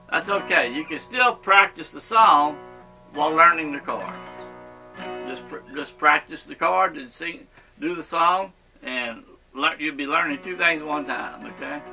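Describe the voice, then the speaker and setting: A man's voice: a little high, bright, forceful tone, rough recording. A man giving a step-by-step guitar lesson in an encouraging, reassuring way, often checking in as he goes. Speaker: guitar teacher.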